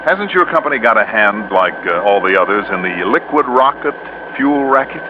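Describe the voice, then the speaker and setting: A man's voice: on the low side, animated, normal speed, close to an everyday voice. A man with an American accent playing a breezy, tough insurance investigator in an old radio drama, with snappy, wry delivery. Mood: casual, probing. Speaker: lead actor and narrator. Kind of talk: 1950s-60s American radio drama acting. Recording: dull, noisy vintage radio recording.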